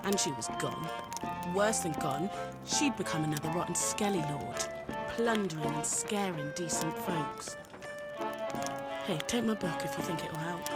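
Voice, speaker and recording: A young tavern keeper talks bluntly and wistfully about old stories, as a woman acts her out. Her voice sits a little low, and loud game music fills the background. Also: lightly acted. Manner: chatty, wistful storyteller.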